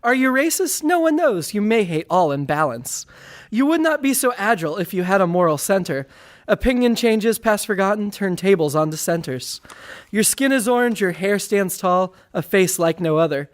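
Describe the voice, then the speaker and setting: A man reading a satirical rhyming poem as a comedy performer, in a mock-grandiose, declamatory manner. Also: sits high.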